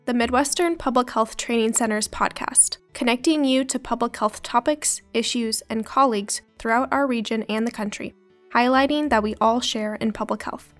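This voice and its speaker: Smooth woman announcer over faint music. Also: fairly high pitch, normal speed. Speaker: podcast announcer. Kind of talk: scripted intro narration.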